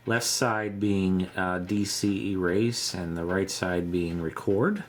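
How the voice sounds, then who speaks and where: A middle-aged man giving a casual, unscripted show-and-tell in a halting, matter-of-fact way. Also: low voice, steady tone, slight background noise. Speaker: vintage electronics hobbyist presenter.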